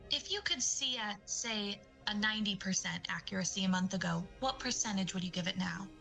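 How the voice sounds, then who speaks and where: A woman playing a polite, measured eye doctor in an audio drama, professional and tactful. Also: mid-range voice, creaky, pushed and bright, faint music behind, low-quality recording. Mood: measured, clinical.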